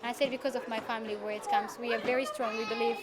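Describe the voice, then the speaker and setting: Fast-talking, high-voiced young woman from Sudan. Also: steady tone. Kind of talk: personal account in interview.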